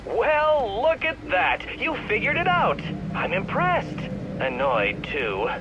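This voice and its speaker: An actor playing a smug, intellectual game villain, taunting over a radio in a condescending, theatrical, precise way. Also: male voice, high-pitched, huge pitch swings, slow, full character voice. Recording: dull radio sound with loud noise.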